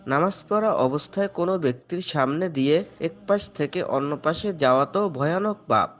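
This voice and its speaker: A man's voice: on the high side, moderate pace, lightly acted. A man playing a devout father in an Islamic moral cartoon, speaking earnestly and patiently as he instructs his children. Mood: earnest admonishing. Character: devout, gently admonishing father of two.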